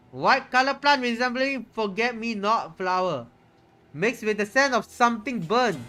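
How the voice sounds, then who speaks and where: A man with a slightly high voice reads a visual novel aloud. His delivery is theatrical and playful, slipping into casual streamer reactions. Music and rain sound behind him. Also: a bit bright.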